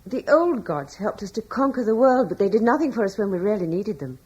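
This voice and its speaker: An actress playing a gentle, devout Roman-era wife who speaks softly and earnestly in an old radio play. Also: female voice, mid-range voice, expressive, fairly quick, breathy edge. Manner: soft, earnest, quietly faithful. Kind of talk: 1950s-60s radio drama acting. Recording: dull old radio broadcast.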